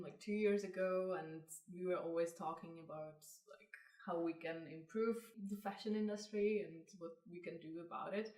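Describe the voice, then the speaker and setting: A woman's voice: lowish voice, darkish tone. A young woman from the Republic, answering interview questions as a brand co-founder in a casual way with many "like" fillers. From Czech Republic. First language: Czech. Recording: roomy and distant from the mic.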